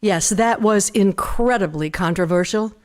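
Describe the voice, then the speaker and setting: An actress playing a polite, attentive woman interviewer in a live radio theater piece, courteous and deliberate in her delivery. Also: mid-range voice, close to an everyday voice. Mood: solemn, respectful.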